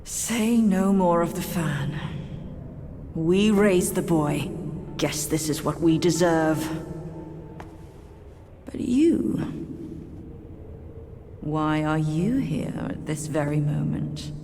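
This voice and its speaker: An actress playing a proud, scornful middle-aged demon princess in a video game, with a haughty, measured, theatrical delivery. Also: female voice, low voice, animated, mellow, heavily acted. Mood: bitter. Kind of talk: dramatic video game cutscene acting. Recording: long hall reverb over music.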